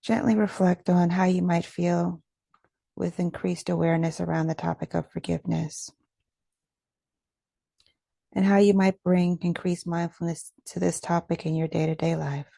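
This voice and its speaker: Low, mellow woman guiding a meditation. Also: little pitch movement, gravelly, no echo. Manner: gentle, measured, instructional delivery. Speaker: meditation guide. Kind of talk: guided meditation.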